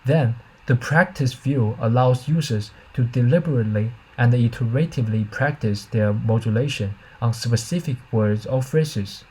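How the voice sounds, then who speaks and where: A man's voice: medium pitch, normal speed, hardly any pauses, darkish tone, husky, rough recording. A man reading a scripted academic presentation as a researcher, in a careful, formal manner with slight stumbles.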